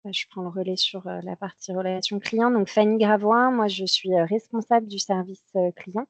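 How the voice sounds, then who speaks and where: A woman introducing herself as a customer service manager in a video meeting, talking quickly and casually. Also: medium pitch, fairly even intonation, no echo. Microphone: video call.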